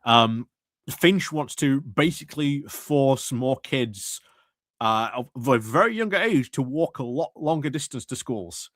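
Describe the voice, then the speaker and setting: A man giving casual reaction commentary, speaking in a wry, halting way. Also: medium pitch, expressive, fairly bright tone, no echo, clean studio sound. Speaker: streamer commentator.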